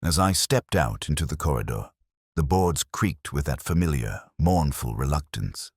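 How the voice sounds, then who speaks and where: A man playing a brooding older English police detective, narrating a mystery drama in a measured, solemn, literary and reflective manner. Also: extremely low pitch, expressive, husky, dry recording, clean studio sound, full character voice. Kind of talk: scripted first-person mystery narration.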